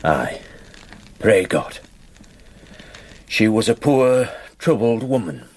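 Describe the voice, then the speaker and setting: Middle-aged man, radio drama squire; husky voice, plain-spoken, kindly, no-nonsense. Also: on the low side, big pitch swings, slow, darkish tone, full character voice. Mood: solemn. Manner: plain-spoken, gruffly kind.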